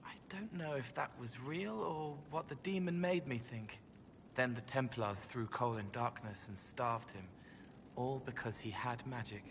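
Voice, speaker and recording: An actor playing a gentle, haunted young man in a video game, speaking softly and earnestly in halting fragments, in a reverberant space. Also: mid-range voice. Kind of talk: dramatic video game acting. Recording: long reverb, faint background noise.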